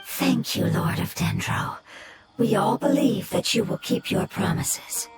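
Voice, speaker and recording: An actress voicing a gentle, ancient non-human survivor who speaks calmly, formally and with sorrow. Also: female voice, medium pitch, unhurried, loud music behind the voice. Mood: wistful.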